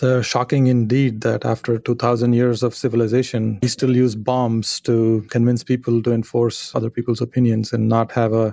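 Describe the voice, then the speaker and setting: A man hosting a podcast, opening with formal introductions and asking questions in a measured way. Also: mid-range voice, creaky, warm tone, dry recording, clean studio sound. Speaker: podcast host. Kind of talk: podcast interview.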